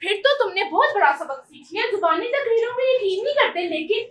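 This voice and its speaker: A woman's voice: extremely high pitch, animated, fairly quick, a bit bright. A young woman with a Pakistani accent acting a weary, determined woman pleading for a fresh start in an amateur stage play, recorded from a distance. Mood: earnest, wounded. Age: young adult. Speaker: student stage actor.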